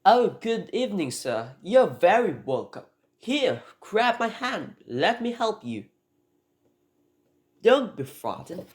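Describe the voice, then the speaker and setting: A man's voice: high-pitched, sing-song, takes it slowly, voice pushed up, exaggerated voice. A man voicing a bitter, scheming noblewoman in a radio drama, insistent and conspiratorial. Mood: coaxing. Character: bitter, scheming noblewoman eager to betray her husband.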